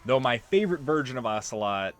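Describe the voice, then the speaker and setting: A man's voice: medium pitch, lively intonation, quick pace, a bit bright, slight background noise. Rambling man doing casual game commentary. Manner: rambling, conversational. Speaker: let's player. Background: game music and audio.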